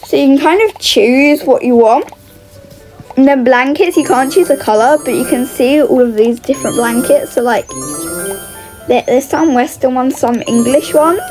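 Female gaming host, high voice, casual and exclamatory, thinking aloud, faint music underneath. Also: moderate pace, a little mellow. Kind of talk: casual gameplay commentary.

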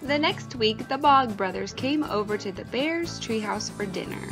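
A woman's voice: fairly high pitch, animated, normal speed. A woman narrating a children's picture book aloud, with music behind her. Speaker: storybook reader.

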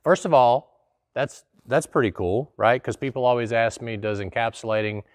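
A man's voice: mid-range voice, moderate pace, no room sound. A middle-aged man, hosting a casual explainer in a small studio and answering a viewer's question in a folksy, conversational way. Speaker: host. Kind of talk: casual explanatory talk to camera. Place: small studio in front of a plain wall.

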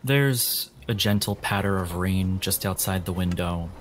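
A man working as a game master, giving atmospheric narration for a tabletop game over soft guitar music. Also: low voice, measured pace.

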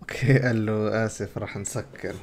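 A man's voice: lowish voice, steady tone, brisk. A young man with a Levantine Arabic accent, giving casual game commentary and translating dialogue aloud as a streamer. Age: young adult. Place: indoor room. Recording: clear background game noise.

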